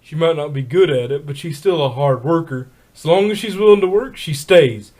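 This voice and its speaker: Calm big country stallion played by a man, few plain words, protective older brother in a fan story. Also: on the high side, normal speed, close to an everyday voice. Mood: firm. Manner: laconic, plain-spoken country phrasing.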